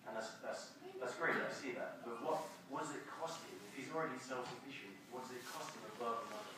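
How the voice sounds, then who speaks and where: A man's voice: mid-range voice, fast, heavy reverb, distant mic, background noise. A man in the audience asking a lecturer a question, hesitant and repeating himself. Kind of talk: question from the floor.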